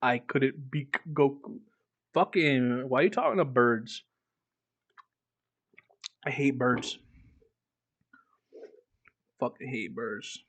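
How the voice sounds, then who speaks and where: A man's voice: mid-range voice, slow pace, pauses often, fairly bright tone, husky. A man reading a book aloud as a streamer, breaking off into casual, irreverent, swearing commentary. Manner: irreverent, profane asides.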